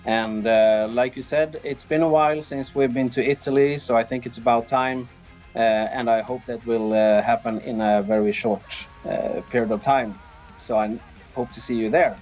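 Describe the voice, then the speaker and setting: A man's voice: medium pitch, steady tone, normal speed, darkish tone, highs cut off. Easygoing middle-aged Swedish man, music behind. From Sweden. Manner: hesitant, easygoing. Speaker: interviewed band drummer. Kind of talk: casual interview answers.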